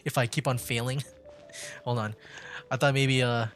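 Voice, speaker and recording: A man's voice: mid-range voice, steady tone, a little slow, a bit bright, faint music behind. A man giving casual gameplay commentary as a streamer, thinking aloud in a hesitant way. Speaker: game streamer, virtual YouTuber.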